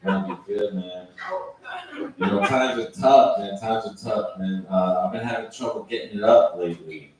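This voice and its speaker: A man doing a stand-up set at an open mic night with a calm, laid-back, deadpan delivery. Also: fairly low pitch, moderate pace. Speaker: stand-up comedian. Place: open mic at a bar venue. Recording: echoey room from far off, loud noise.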